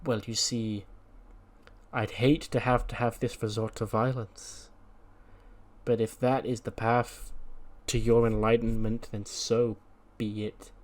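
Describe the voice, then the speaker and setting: Man acting a male cult-like villain, soft-spoken, measured, falsely soothing, retold wrestling promo. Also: on the low side, lively intonation. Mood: calm menace.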